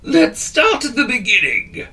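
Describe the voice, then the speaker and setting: A man voicing a large, fat, excitable male wizard who talks in a wheezy way, bossy and cheerful. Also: extremely high pitch, measured pace, voice pushed up, heavily acted.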